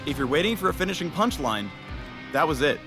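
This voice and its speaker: Male promo narrator, playful and upbeat, very animated pitch, faint music bed. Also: a little fast. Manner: upbeat, playful announcer delivery. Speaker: game spotlight narrator.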